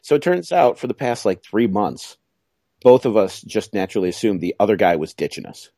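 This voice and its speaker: A man's voice: fairly low pitch, very animated, brisk, vocal fry, mellow, no room sound, studio quality. A middle-aged man, co-hosting a podcast in a jokey, rambling, self-mocking way. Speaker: podcast co-host. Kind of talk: casual chat.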